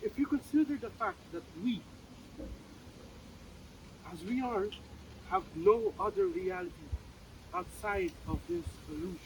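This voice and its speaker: A man's voice: very high-pitched, big pitch swings, measured pace, creaky, pauses often, heavily acted. An actor in a dramatic play reading, playing an earnest, philosophical man with a formal, emphatic, argumentative manner. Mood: earnest, polite. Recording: distant mic, faint background noise.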